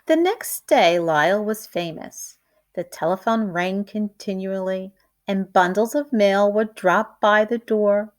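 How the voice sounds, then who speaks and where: A woman reading a children's picture book aloud like a teacher, in a deliberate, expressive storytelling way. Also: medium pitch.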